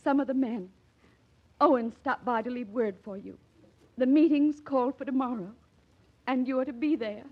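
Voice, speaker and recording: A loyal young wife in a 1940s-style radio play. She speaks in a high, earnest, dramatic voice. The old broadcast sound is slightly dull. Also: normal speed, pauses often. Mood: worried. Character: devoted young wife of an idealistic doctor.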